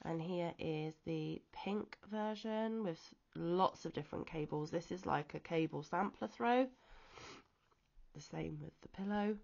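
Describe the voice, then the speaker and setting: A woman from the UK who is ill and wheezy with a chesty cough, chatting casually off camera about a crochet magazine with frequent pauses and ums. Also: fairly low pitch, gravelly, darkish tone, muffled recording. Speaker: crochet vlogger. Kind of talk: casual show-and-tell chat. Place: at home, off camera.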